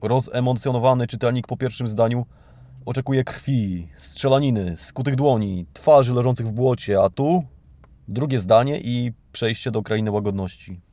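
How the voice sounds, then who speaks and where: A man's voice: medium pitch, moderate pace, mellow, no room sound. A man from Poland hosting a podcast, delivering a satirical scripted monologue in an ironic, mock-grandiose, theatrical way. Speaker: podcast host. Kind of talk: satirical scripted monologue reading and mocking news items.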